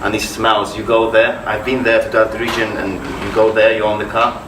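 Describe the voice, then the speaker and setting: Spanish guest, low monotone man, distant and roomy. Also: normal speed. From Spain. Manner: hesitant, searching for words. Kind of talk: casual conversation. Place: office contact centre. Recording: roomy, distant, background hum.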